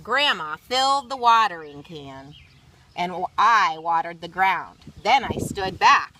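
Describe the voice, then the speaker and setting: A woman reading a children's picture book aloud expressively, pausing for chatty explanatory asides. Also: fairly low pitch, expressive, pushed and bright, a little hiss or hum, rough recording. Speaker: read-aloud storyteller.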